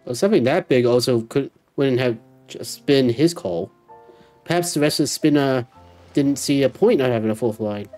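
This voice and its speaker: A woman's voice: very deep voice, lively intonation, normal speed, warm tone, faint music behind. A woman game streamer giving casual commentary as she plays.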